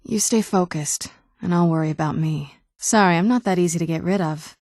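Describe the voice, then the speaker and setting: Fantasy game companion: guarded ancient vampire noblewoman, lowish mellow voice, wry understatement, close dry sound. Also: fairly quick, no echo. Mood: teasing, headstrong. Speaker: adventuring companion character in a fantasy video game. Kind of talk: video game dialogue acting.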